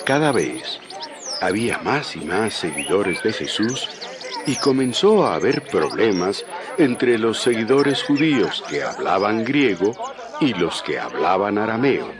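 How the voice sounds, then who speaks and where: A man's voice: on the low side, very animated, a little slow, crowd noise. A man narrating a dramatized audio Bible as a scripted storyteller. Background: music.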